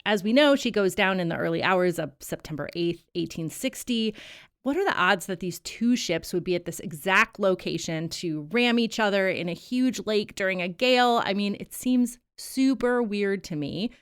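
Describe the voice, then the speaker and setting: A woman's voice: medium pitch, expressive, normal speed, smooth. A woman guest on a podcast, telling a history story in a conversational, curious way.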